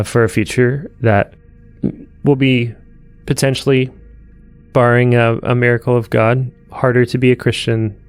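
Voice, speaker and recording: A man's voice: medium pitch, darkish tone, clear, resonant tone. Male co-host, clean resonant tone, earnest and emphatic with repeated phrases, faint music underneath. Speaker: podcast co-host. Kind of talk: earnest discussion.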